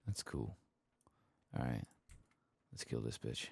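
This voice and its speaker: Very deep, creaky soft-spoken man. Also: big pitch swings, slow, a little hiss or hum. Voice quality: gravelly. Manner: low-key, murmuring to himself while playing. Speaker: gaming streamer. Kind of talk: soft-spoken ASMR game playthrough commentary. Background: keyboard typing and mouse clicks.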